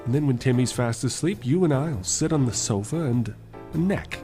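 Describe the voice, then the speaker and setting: Affectionate suitor, played by a man, mild, playful, earnest, medium pitch, old-fashioned radio drama. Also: animated, moderate pace, mellow, soft background music, natural voice. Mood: delighted, playful.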